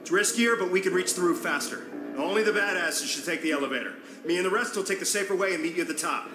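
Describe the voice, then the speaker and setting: An actor playing a gruff, blunt, commanding leader of survivors in a video game cutscene. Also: male voice, sits high, fast talker, roomy sound, music in the background, lightly acted. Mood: urgent, commanding. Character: gruff, foul-mouthed man leading survivors, grimly practical.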